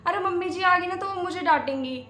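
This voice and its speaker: Woman acting a high-voiced young daughter-in-law. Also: normal speed, fairly bright tone. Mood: pleading, soothing. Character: young daughter-in-law, placating, sweetly cheeky. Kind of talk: domestic comedy skit acting. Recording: echoey room.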